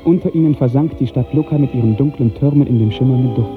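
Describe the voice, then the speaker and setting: Lyrical male narrator on vintage radio. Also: medium pitch. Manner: lyrical, measured, formal diction. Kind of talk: literary narration in 1950s German radio drama. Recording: tinny 1950s radio recording, music behind.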